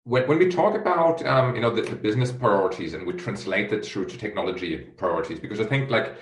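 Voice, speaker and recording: A man's voice: on the low side, animated, fast. A man hosting a business interview, asking long questions with many fillers and restarts. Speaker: host, chief executive of a research firm. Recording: echoey room.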